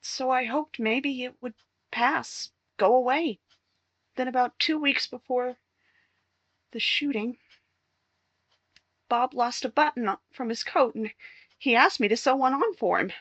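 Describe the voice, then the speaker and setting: An actress playing a distraught young wife in a 1950s-style radio crime drama, emotional, halting and pleading. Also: female voice, sits high, a bit bright, slightly rough, no echo, lo-fi sound, full character voice. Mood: anguished recounting. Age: young adult.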